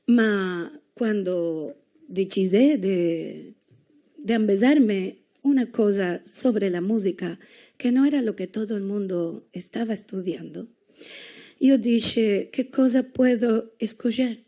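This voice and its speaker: Argentine woman giving a talk at a university, mellow low-key voice, unhurried. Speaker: guest lecturer. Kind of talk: university lecture. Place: university lecture hall.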